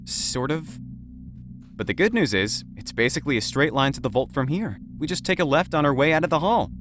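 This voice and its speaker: A man's voice: fairly high pitch, lively intonation, fairly quick, a bit bright, faint music behind. A man voicing an earnest, eager younger man in a visual novel. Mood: concerned, determined.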